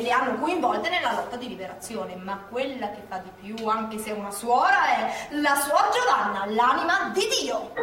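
A woman's voice: high voice, a bit bright. A woman playing a spirited partisan, telling her story on stage in a chatty, confiding way. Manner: colloquial, confiding, insistent. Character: spirited, chatty young working-class partisan woman of Milan. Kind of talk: live theatre storytelling. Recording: echoey theatre, distant mic, faint noise.

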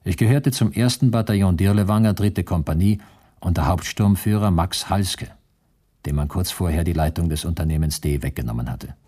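A man's voice: sits low, normal speed, dark tone, husky. A man playing a grim former soldier, giving sober, matter-of-fact testimony in a scripted radio drama.

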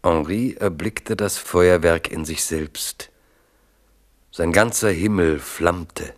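A man narrates a literary radio drama. His voice is very deep and he takes his time, speaking very slowly. The delivery is measured and dramatic.

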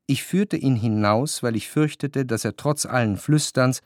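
A male actor as the reflective first-person narrator of a literary radio drama, speaking in a measured way. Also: mid-range voice, no echo, clean studio sound, lightly acted. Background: faint music.

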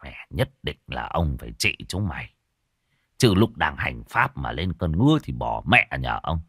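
Guy doing a low gruff old village exorcist, crude and grumbly, dry close voice. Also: very animated, moderate pace, bright, forceful tone, dry recording, full character voice. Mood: gruff grumbling. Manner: coarse, grumbling.

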